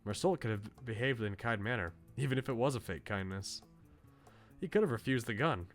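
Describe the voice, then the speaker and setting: A man's voice: medium pitch, brisk, close to an everyday voice. A man reading aloud a visual novel's bookish male student, articulate, philosophical and politely opinionated. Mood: earnest, critical. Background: music.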